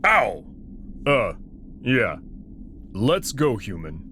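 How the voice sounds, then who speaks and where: A man voicing a friendly, clumsy male alien wolf in a visual novel, nervous and halting in his slow speech. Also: lowish voice, big pitch swings, sharp tone, a little hiss or hum, pitched below the performer's own voice, exaggerated voice. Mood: eager, flustered.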